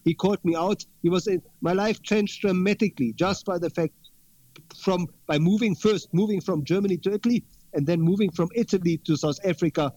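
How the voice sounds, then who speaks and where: A man with a fairly high voice speaks earnestly as an interview guest, circling back and repeating his key words. His audio is dry and a bit muffled. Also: a little fast, mellow, dry recording. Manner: earnest, repeats himself for emphasis. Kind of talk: interview conversation.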